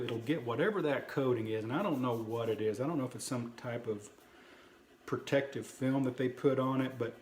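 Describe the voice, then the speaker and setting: A man talks casually to his viewers as a video host, folksy and plainspoken. His voice sits at an average pitch. The recording is clearly roomy and echoing, with slight noise behind him. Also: darkish tone. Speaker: host of a prepper video channel. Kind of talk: casual product review talk to viewers. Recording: roomy echo, light background noise.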